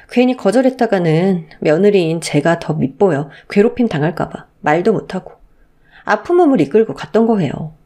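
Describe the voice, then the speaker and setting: A woman's voice: on the low side, dark tone. A woman narrating a listener's story in the first person, in an indignant storytelling manner. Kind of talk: scripted narration of a reader's story.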